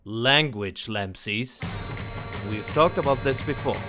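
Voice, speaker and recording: A man's voice: medium pitch, slow, close to an everyday voice. A man playing a determined, earnest hero duelist in a fan audio drama. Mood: fond, reassuring. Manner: earnest, resolute. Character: determined, brooding male hero duelist, kind to companions. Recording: music behind the voice.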